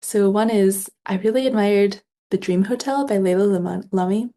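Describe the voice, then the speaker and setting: A woman's voice: medium pitch, moderate pace, dark tone. A woman speaking as an author guest in a casual interview, reflective and thoughtful, often adding small conversational qualifiers.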